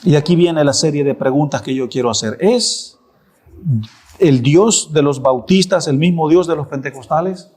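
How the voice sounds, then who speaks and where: Man, thirties, pastor preaching in a church, fairly high voice, rhetorical questions with firm answers. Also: moderate pace, darkish tone. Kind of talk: sermon.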